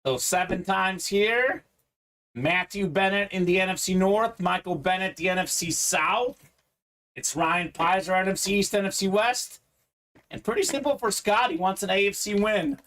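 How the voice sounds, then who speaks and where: Male host from Bulgaria, fairly high voice, casual running chat about the pulls. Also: moderate pace, fairly bright tone. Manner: chatty, reads out viewer comments. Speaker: live card break host. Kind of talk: casual live-stream chat.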